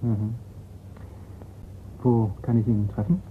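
An actor playing a sober, probing investigator in a radio crime drama, speaking in a measured, questioning way. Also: male voice, fairly low pitch, little pitch movement. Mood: probing, wary. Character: sober, probing male investigator, disappointed in his friend. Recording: tinny band-limited radio recording with hiss.

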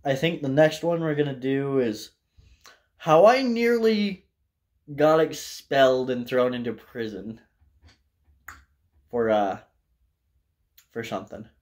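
A young man with an American accent and a medium-pitched voice talks off the cuff as a vlogger. His storytelling is casual and halting, wandering as he goes and peppered with profanity. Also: lively intonation, a little mellow. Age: young adult. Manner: loose, profane, halting delivery. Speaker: vlogger telling a personal story. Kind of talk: casual rambling storytelling to camera. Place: small indoor room with bare wall.

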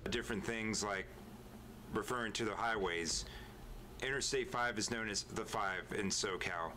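Nasal low Northern Californian man. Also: bright, forceful tone, slight background noise, poor audio. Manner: measured, informative. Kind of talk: explanatory video narration.

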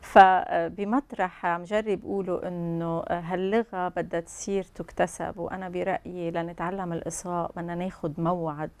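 A woman's voice: fairly low pitch, little pitch movement, normal speed, few pauses, a little mellow, clear, resonant tone. A woman in her fifties with a Lebanese accent, explaining as a guest expert in a conversational way with French terms mixed in. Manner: mixes French terms into Arabic. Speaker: guest expert, couples and family counsellor. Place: TV studio. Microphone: lapel mic.

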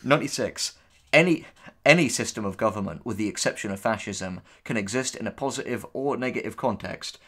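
A man's voice: lowish voice, a little fast, a bit bright. A man co-hosting casual commentary, reading posts aloud and responding with sardonic, dismissive, sweary remarks. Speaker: co-host and writer reacting to writing tips.

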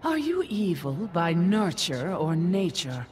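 A solemn goddess passing judgment in a fighting game. Her delivery is formal and grave yet swinging in pitch, with noise clearly behind it. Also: sing-song, slow. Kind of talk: video game fight intro dialogue.

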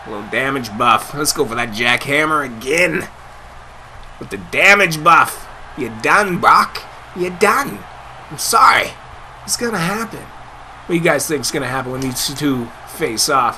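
Hyped man shouting wrestling play-by-play. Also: medium pitch, slight background noise. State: getting hoarse, coughing. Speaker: gameplay commentator. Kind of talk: live wrestling game commentary.

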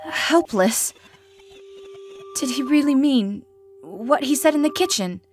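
A proper, guarded goat-monster mother acted by a woman in a comic dub. Her voice is high and her phrasing formal and measured, with faint music underneath. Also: lively intonation. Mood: shaken. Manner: formal, measured, avoids contractions. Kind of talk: amateur comic dub voice acting.

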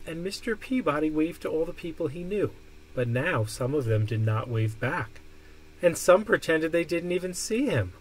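Higher-pitched man reading a storybook. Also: rough recording. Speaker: storybook reader. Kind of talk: expressive read-aloud of a children's picture book. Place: indoor room.

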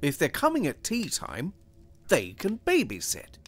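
A man's voice: a little high, huge pitch swings, unhurried, voice pushed up, heavily acted. A man reading aloud and voicing a dry, sardonic husband who makes light of a guest's arrival. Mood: wry.

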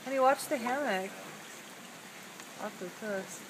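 A woman's voice: on the high side, unhurried. A woman chatting casually in a group, recorded outdoors on a camera with ambience around her. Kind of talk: casual chat. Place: outdoor yard at night. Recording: noisy outdoor phone video.

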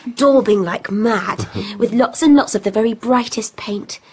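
A woman's voice: on the high side, unhurried, darkish tone, breathy edge. An actress playing an exuberant, dreamy, impulsive young daughter in a period radio play, gushing out her words. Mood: excited, dreamy. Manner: gushing, breathless listing.

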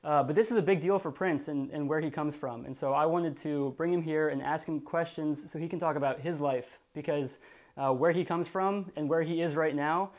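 A man's voice: fairly high pitch, normal speed, darkish tone. A young man in his twenties hosting an interview in a living room, prompting his guest with friendly questions. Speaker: host. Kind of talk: interview questions to a live audience.

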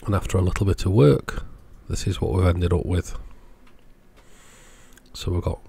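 Middle-aged male host for hands-on repair videos; creaky, rough-edged voice, plain-spoken explanations in short phrases. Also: low-pitched, steady tone, normal speed, warm tone. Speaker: electronics repair channel host. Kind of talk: casual workbench repair narration.

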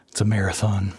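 A man with a somewhat low, husky, raspy voice talks through his drawing at an unhurried, dragging pace. He is under the weather and low on energy, rambling softly and chuckling now and then as he explains his process. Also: no room sound. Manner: slow, rambling, soft-spoken with chuckles. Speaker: art channel host. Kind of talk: relaxed drawing voice-over.

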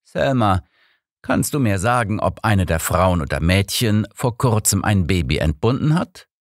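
A man voicing an old detective in an audiobook, a composed, polite questioner who is soft and quietly persistent. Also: fairly low pitch, sing-song, a little slow, no echo, studio quality, voice pushed up. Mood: gentle, probing.

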